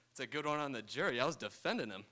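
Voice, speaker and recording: Brash radio-comedy boss male actor, forceful and very animated, a sardonic wisecracker with a high opinion of himself. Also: fast. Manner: bossy, sardonic. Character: brash, wisecracking male lawyer boss, irreverent and self-important. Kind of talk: 1930s comedy radio play acting. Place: auditorium stage. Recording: live stage radio play.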